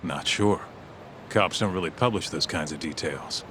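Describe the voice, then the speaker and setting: An actor playing a streetwise, grumbling detective's sidekick in a video game, skeptical and slangy. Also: male voice, low voice, normal speed, fairly bright tone, slight background noise. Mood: matter-of-fact. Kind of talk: English video game voice acting.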